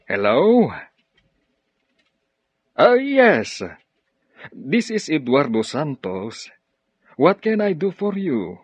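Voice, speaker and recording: A man acting a gentle middle-aged father and professor in Ilocano radio drama, with an Ilocano accent. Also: fairly high pitch, breathy edge, dry recording, muffled recording, exaggerated voice. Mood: pleased. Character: fatherly retired professor and farm owner, gentle.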